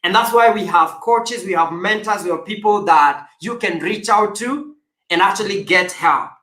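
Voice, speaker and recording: A man speaks as a life coach, earnest and motivational, pushing his listeners toward action. His voice is bright and forceful. The sound carries a noticeable room echo. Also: high-pitched, normal speed. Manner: earnest, motivational, with emphatic exhortations. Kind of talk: coaching conversation.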